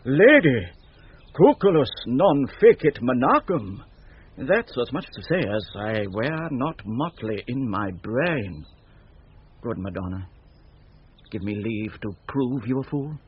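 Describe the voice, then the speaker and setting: An actor playing a quick-witted, teasing court fool in 1970s radio Shakespeare, with theatrical, riddling delivery. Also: male voice, mid-range voice, sing-song, slightly rough, exaggerated voice. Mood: impudent wit. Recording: dull vintage radio recording.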